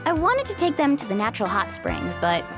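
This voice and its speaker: A playful young woman from a video game, chatty and outgoing. Her high voice swings brightly through the sentences, with music playing behind her. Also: huge pitch swings, a little fast. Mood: upbeat, friendly. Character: cheerful, outgoing young woman who guides guests. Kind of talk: English video game voice acting.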